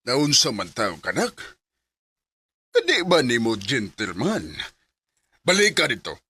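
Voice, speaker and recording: A bossy, insistent man in a Filipino radio drama. The voice is high and forceful, with big pitch swings. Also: big pitch swings, fairly quick, dry recording, full character voice. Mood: scolding.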